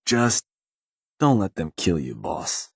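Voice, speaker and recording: Low, husky, sardonic raider advisor. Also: male voice, wildly swooping pitch, takes it slowly, dry recording, studio quality, exaggerated voice. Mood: wry, coolly ruthless. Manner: laconic, folksy, matter-of-fact menace. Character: shrewd, sardonic male raider gang advisor, coolly ruthless. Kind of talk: video game character dialogue.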